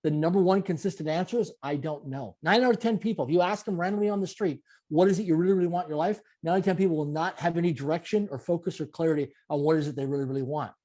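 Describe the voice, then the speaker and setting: A man's voice: fairly high pitch, racing, hardly any pauses, dark tone. A man in his late forties from Canada, a guest giving an interview, talking in emphatic, repetitive motivational phrases. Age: 40s. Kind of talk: conversational interview.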